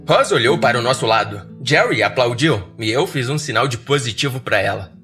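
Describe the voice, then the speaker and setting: A man's voice: medium pitch, lively intonation, fairly quick, bright, forceful tone, soft background music. A Brazilian man hosting and narrating a horror podcast in an informal, storytelling way. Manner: informal, slangy. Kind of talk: scripted story narration and casual listener address.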